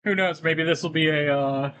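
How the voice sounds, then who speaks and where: Higher-pitched man livestreaming casually. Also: steady tone, moderate pace, fairly bright tone, clear, resonant tone. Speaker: livestreamer playing a game. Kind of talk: casual livestream commentary, reading on-screen text aloud.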